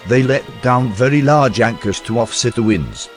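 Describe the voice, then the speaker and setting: A man reading a historical account aloud in a measured, storytelling documentary narration. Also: mid-range voice, animated, faint music behind. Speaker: reader of historical text.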